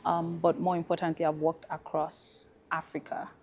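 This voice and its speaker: A woman's voice: sits low, level tone, normal speed, a little mellow. A woman with a Nigerian accent, an easygoing panel guest who talks about her work and life with light laughs. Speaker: fintech founder, panel guest. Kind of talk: relaxed panel conversation.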